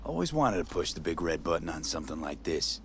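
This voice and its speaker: A man's voice: low-pitched, sing-song, brisk, fairly bright tone, a little hiss or hum, exaggerated voice. A man voicing a wry, cocky young mercenary in a video game cutscene, dry and sardonic. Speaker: game character. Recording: game audio with music behind.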